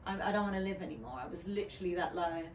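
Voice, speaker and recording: A woman's voice: lowish voice, lively intonation, racing. A woman, a DJ guest on a podcast, talking candidly and chattily with lots of fillers and restarts. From United Kingdom. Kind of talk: casual podcast interview. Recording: roomy, distant mic with faint noise.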